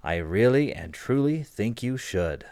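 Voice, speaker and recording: A man's voice: mid-range voice, animated, unhurried, a little mellow. A man playing a sly, fretful father bear in a children's storybook read-aloud. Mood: warning.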